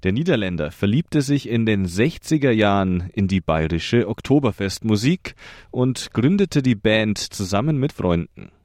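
A male radio presenter reading a scripted narration in a measured, even way. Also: fairly low pitch, no echo, studio quality.

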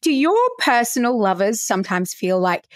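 A woman hosting a podcast interview, asking quick, playful questions in a conversational way. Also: mid-range voice, expressive, no echo, studio quality. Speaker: podcast host.

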